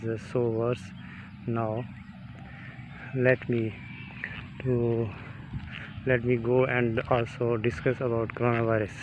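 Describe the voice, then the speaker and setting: Man, Pakistani accent, halting fragmented delivery, many fillers, mid-pitched, casual vlogger, outdoors, dull muffled audio. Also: background noise. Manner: halting, hesitant, filler-heavy, short fragmented phrases. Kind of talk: casual walk-and-talk monologue to viewers. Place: outdoors, walking on university grounds.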